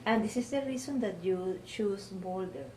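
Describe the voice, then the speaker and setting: A woman interviewer asking questions in a halting way, often rephrasing them. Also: medium pitch, mellow. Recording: faint background noise, room ambience.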